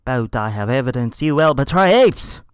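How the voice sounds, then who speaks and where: A man's voice: mid-range voice, lively intonation, moderate pace, clear, resonant tone, no echo, studio quality, close to an everyday voice. A man playing a defiant, earnest stranded spaceship captain in a story read aloud.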